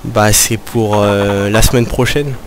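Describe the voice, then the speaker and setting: A man's voice: on the low side, steady tone, slow. A young man making a prank phone call in a casual, rambling, hesitant way. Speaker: prank caller. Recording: noisy amateur recording, background hum.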